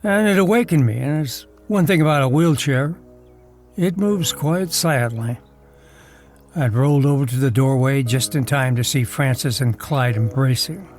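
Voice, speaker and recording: An actor playing a wealthy, wry, teasing husband in a wheelchair in an audio drama. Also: male voice, mid-range voice, moderate pace, darkish tone, faint music behind, lightly acted. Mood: reflective narration. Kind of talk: audio drama acting, first-person narration and dialogue.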